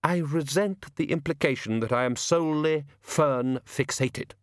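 A man's voice: on the high side, measured pace, dry recording, studio quality, voice pushed up, close to an everyday voice. A man voicing a cold, aloof male frost spirit who speaks in a cool, precise and slightly wounded way.

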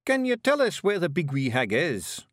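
Male reader as a gruff, commanding Scottish pictsie chief, animated. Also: fairly high pitch, moderate pace, no room sound, clean studio sound, pitched above the performer's own voice. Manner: brusque, commanding.

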